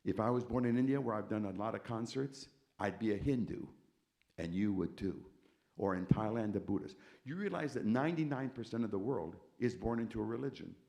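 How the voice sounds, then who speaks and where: An older man giving an emphatic church testimony, repeating key words and swinging between quiet intensity and loud rising questions. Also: medium pitch, creaky. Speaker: concert pianist giving testimony. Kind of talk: testimony sermon to a congregation. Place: church sanctuary.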